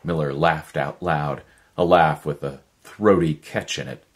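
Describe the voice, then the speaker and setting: A man in his fifties reading a story as a voice actor, in a measured, expressive, dramatic narration. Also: a bass voice. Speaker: voice actor, audiobook reader. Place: living room, indoors.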